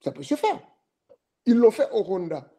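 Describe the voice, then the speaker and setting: High, mellow professor. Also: male voice, big pitch swings. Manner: deliberate, questioning, professorial. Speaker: thesis jury member. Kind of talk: academic remarks at a thesis defense.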